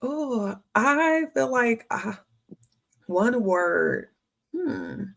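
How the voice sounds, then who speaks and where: A woman's voice: fairly high pitch, animated, extremely slow, vocal fry. A young adult woman, a guest in a casual interview, talking through her views on hiring with plenty of fillers and restarts. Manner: chatty, many fillers and restarts. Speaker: HR professional guest.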